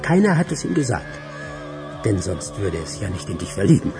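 Coaxing film director, creaky, animated intonation, manipulative, radio drama, music behind. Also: male voice, on the low side, moderate pace. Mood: coaxing, instructive. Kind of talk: radio crime drama acting. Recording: clear music behind the dialogue.

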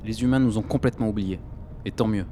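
A man's voice: fairly low pitch, fast talker, dark tone, a little hiss or hum, natural voice. Man playing a jaded, scornful young conscript. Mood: sarcastic, dismissive. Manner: slangy, scornful. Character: jaded, cynical, restless young male conscript. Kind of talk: audio drama acting.

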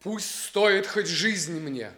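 An actor playing a proud, lovestruck young prince in a lofty, declamatory radio drama style. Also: male voice, high-pitched, animated, a bit bright, full character voice. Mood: proud, resolute. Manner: lofty, declamatory, drawn-out phrasing. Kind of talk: theatrical radio drama acting.

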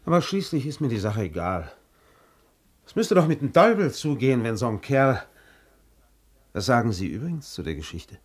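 An educated, easygoing gentleman in a German radio play. His medium-pitched voice moves in animated swoops, yet he stays casual and nonchalant. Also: moderate pace, pauses often. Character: easygoing, educated gentleman doctor, mildly amused.

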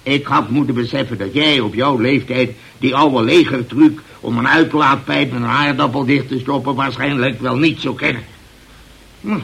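Gruff older male boss, gravelly and husky, blustering, grumbling, old radio play. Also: mid-range voice, steady tone, moderate pace, a bit bright. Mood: grudging, blustering. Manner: blustering, hesitant, interrupting. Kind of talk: old radio drama acting.

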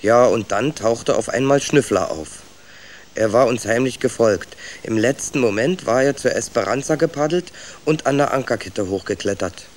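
A man's voice: mid-range voice, moderate pace, close to an everyday voice. A man playing a reflective, wry rat who narrates his clan's voyage in a children's radio play. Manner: thoughtful, wry storyteller.

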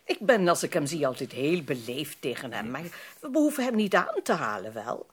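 A woman's voice: sits low, very animated, brisk, breathy edge, exaggerated voice. A woman acting a worried, proper middle-aged society mother in a period radio drama, with a genteel, fretful, emphatic manner. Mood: worried, disapproving.

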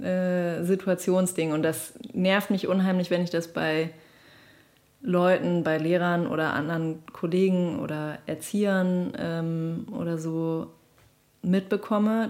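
A woman's voice: lowish voice, a little mellow. A woman speaking casually and spontaneously, as if reflecting on her work in an interview.

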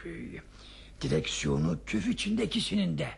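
An actor playing a hesitant, doubtful older man in a radio play, with a raspy, creaky voice and a questioning manner. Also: a little high, wildly swooping pitch, normal speed, slight background noise, exaggerated voice. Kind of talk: radio theater acting.

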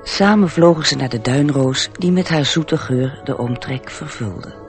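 A man's voice: medium pitch, a little slow, over music. A man narrating a story in a radio drama, reading scripted storybook prose.

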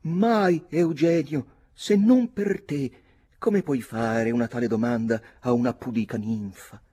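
Man putting on a high-voiced melodramatic young gentlewoman. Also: a little slow, breathy edge. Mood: coy. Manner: theatrical, gushing exclamations.

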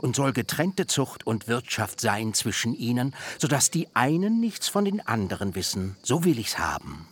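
Ein Schauspieler spielt in einem literarischen Hörspiel einen grantigen, schlauen alten Onkel mit rauer Stimme, der altertümlich und schroff spricht. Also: male voice, medium pitch, sing-song, moderate pace, hardly any pauses, slightly rough. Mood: commanding. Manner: archaic, blunt, emphatic speech. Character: grudging, shrewd old uncle and herd owner. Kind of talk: literary radio drama acting.

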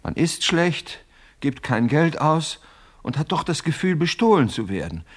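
An actor playing a pompous, miserly older widower in a radio play, self-justifying and fussily indignant, with a husky voice. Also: male voice, fairly high pitch, big pitch swings, unhurried. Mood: agitated, complaining.

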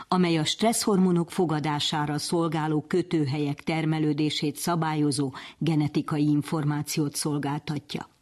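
A woman's voice: low voice, a little mellow. A woman presenter reading a scripted science radio narration. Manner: clear, read-out narration.

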